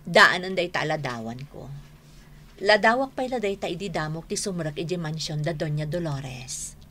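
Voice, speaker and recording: A woman's voice: sits low, animated, normal speed, sharp tone, slight background noise. An actress playing a modest, coy woman being courted in an Ilocano radio drama, with an Ilocano accent. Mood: bashful, flattered.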